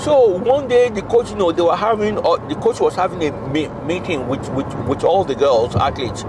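A man's voice: high-pitched, normal speed. A middle-aged man with a Nigerian accent telling casual stories, repeating phrases for emphasis and acting out dialogue. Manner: repetitive, emphatic, acts out dialogue. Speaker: father telling stories about his daughter. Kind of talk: animated casual storytelling. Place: inside a moving car on a highway. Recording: loud car road noise.

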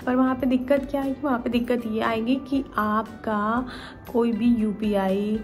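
A woman's voice: a little high, fairly even intonation, a little slow, a little mellow. A young woman from vlogging casually at home, explaining things step by step with many asides, speaking to a camera on a table. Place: small indoor room at home. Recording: music playing behind voice.

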